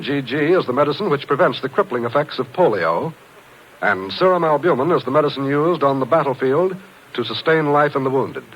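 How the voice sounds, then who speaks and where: Male American radio announcer, earnest and formal, mid-pitched with lively swings, dull vintage 1950s broadcast sound. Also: husky.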